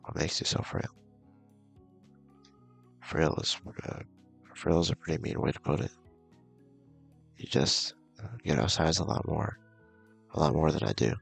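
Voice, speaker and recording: Deep, gravelly man reading aloud. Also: a little slow, pauses often, soft background music. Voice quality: gravelly, husky. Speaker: video game streamer. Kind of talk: casual read-aloud playthrough of visual novel.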